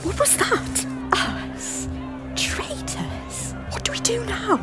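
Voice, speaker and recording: A woman's voice: very high-pitched, huge pitch swings, slow, over loud music, full character voice. A woman voicing an anxious young Scottish prince with a worried, apologetic manner. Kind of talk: fan audio drama acting.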